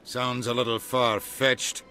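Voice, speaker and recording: A man's voice: medium pitch, little pitch movement, slow, sharp tone, soft background music. A man playing a brusque, impatient middle-aged ambassador in a video game. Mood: businesslike.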